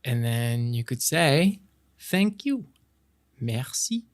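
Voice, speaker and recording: Male actor as boyish best-friend love interest for romantic ASMR roleplay; French Canadian accent, medium pitch, casual, playful, halting delivery. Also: big pitch swings. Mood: playful, teaching. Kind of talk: intimate romantic ASMR roleplay, improvised-sounding casual chat.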